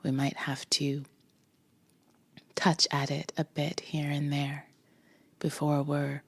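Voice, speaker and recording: A woman leading a guided meditation with a soft, breathy voice, gently instructing in short phrases with pauses between them. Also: low voice, little pitch movement. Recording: music behind the voice. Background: music.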